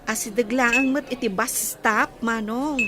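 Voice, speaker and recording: A woman's voice: a little high, unhurried, music in the background, close to an everyday voice. A woman acting in a radio drama as a polite, forthcoming young village woman. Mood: friendly.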